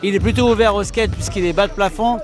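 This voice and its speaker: A man giving casual, halting interview remarks. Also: high voice, fairly even intonation. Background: clearly audible music.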